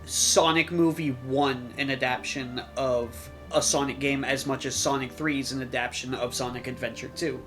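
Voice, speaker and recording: A man co-hosting a podcast, chatting casually with somewhat halting phrasing. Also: mid-range voice, fairly even intonation, a bit bright, soft background music. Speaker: podcast co-host. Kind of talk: casual chat.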